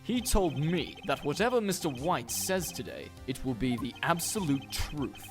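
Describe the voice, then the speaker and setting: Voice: mid-range voice, big pitch swings, normal speed, faint music behind. A performer playing a cold, ruthless male prosecutor, formal and haughty, in a video game fandub. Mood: cold, resolute.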